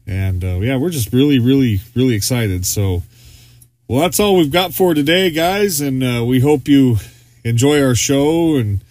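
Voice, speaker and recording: A man's voice: mid-range voice, animated, a little slow, darkish tone, dry recording. A man co-hosting a podcast in casual chat, rambling with lots of fillers and restarts. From Arizona, US. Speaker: podcast co-host.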